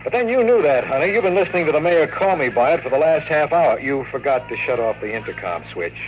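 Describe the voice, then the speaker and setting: Cocky hardboiled radio private eye, muffled sound. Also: male voice, on the high side, sing-song, fast. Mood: flirty, teasing. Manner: breezy, slangy, wry. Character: cocky wisecracking male private detective, narrating his case. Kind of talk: 1950s radio drama acting, hardboiled. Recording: muffled old radio recording, music behind.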